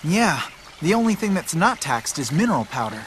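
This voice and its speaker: A man's voice: a little high, sing-song, normal speed, fairly bright tone, a little hiss or hum. A man voicing a streetwise, cynical boy in a video game, blunt and matter-of-fact, like a bossy older friend. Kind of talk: video game cutscene voice acting.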